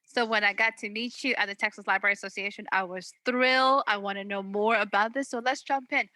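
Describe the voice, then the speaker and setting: A woman's voice: mid-range voice, fairly even intonation, brisk, bright, forceful tone, no echo. A woman hosting an author interview, with upbeat enthusiasm. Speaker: interview host.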